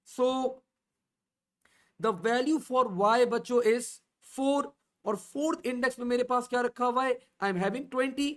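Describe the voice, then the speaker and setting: Patient male coding teacher. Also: very high-pitched. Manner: asks the class questions and answers them himself; slow and repetitive, stepping through values. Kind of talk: online coding lesson in mixed Urdu and English.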